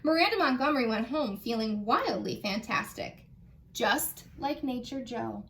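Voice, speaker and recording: An American woman, a children's librarian, reading a picture book aloud in an animated, expressive way and putting questions to her young listeners. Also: a little high, measured pace.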